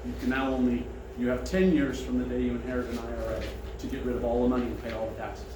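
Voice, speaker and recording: A man with a big, carrying voice talks to a room of attendees in a friendly, easygoing way and keeps inviting their questions. He is picked up from well back in a roomy, echoing dining room. Steady background noise hangs under his speech. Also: medium pitch, fast talker. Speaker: financial seminar presenter. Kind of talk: presentation to a seated audience. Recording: echoey room, mic far off, noisy.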